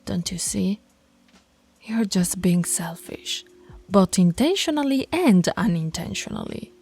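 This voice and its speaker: A woman voicing a dominant, stern alpha werewolf pack leader in an ASMR roleplay, scolding and taunting in a commanding, deliberate way. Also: medium pitch, expressive, gravelly, a little mellow, husky, soft background music, exaggerated voice. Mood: firm, admonishing.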